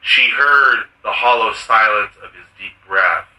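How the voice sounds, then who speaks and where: Slow male story reader in a roomy space. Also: fairly low pitch, bright, forceful tone. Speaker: writer reading his own story. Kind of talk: literary short story reading. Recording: roomy, distant from the mic.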